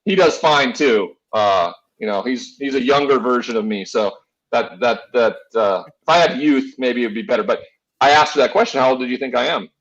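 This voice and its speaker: A man's voice: mid-range voice, normal speed, highs cut off. A man in his forties hosting a casual sports talk show, chatting and telling personal stories in a rambling, boisterous way with free swearing. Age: 40s.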